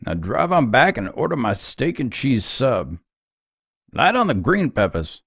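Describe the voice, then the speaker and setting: A man playing a surly, demanding, foul-mouthed character in an audio drama, grumbling with a gravelly, husky voice. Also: medium pitch, big pitch swings, unhurried, fairly bright tone, no echo, clean studio sound, full character voice. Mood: grumpy, demanding.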